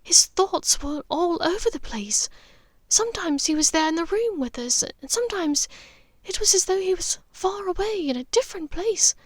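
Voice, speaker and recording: A woman voicing a haunted, gently resolute witch mother in an audiobook, speaking earnestly and haltingly with care. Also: very high-pitched, lively intonation, dry recording, voice pushed up. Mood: troubled, intense. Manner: halting, vehement, careful. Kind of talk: audiobook character dialogue.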